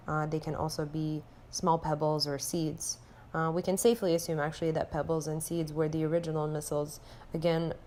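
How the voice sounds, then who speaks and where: A young woman from Romania, an anthropology student, reading a class presentation in an earnest, measured way with frequent filler sounds. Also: low-pitched, a little mellow, a little hiss or hum.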